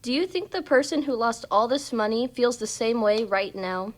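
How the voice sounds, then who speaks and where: A woman playing a gentle, principled mother in a puppet show, patiently teaching her son. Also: a little high, normal speed, natural voice. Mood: patient, instructive. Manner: patient, instructive. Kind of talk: church puppet show dialogue.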